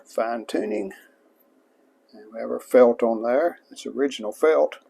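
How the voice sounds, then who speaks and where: A man hosts a hobby restoration vlog in a voice with plenty of gravelly fry. His pitch sits in the middle of the male range. He talks through the hands-on work casually and plainly, showing each piece as he goes. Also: expressive, a little slow, mellow. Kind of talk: casual hands-on workshop narration.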